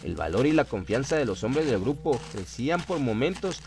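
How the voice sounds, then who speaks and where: Man, narrator, medium pitch, dramatic horror storytelling, scripted radio drama. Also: sing-song, normal speed, a little mellow.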